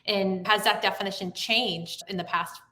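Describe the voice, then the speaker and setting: Female podcast co-host, mid pitch, bright, some halting, echoey room. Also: fairly even intonation. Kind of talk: interview hosting.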